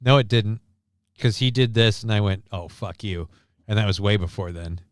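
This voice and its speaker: A man's voice: fairly low pitch, steady tone, moderate pace, heavy background noise. A man hosting a livestream, giving casual, profane movie commentary in a blunt, sarcastic, ranting manner. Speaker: livestream host.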